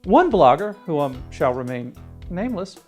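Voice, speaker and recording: A man's voice: on the high side, very animated, moderate pace, darkish tone, faint music behind. A man narrating a scripted video essay as its host, reading in the style of political media commentary.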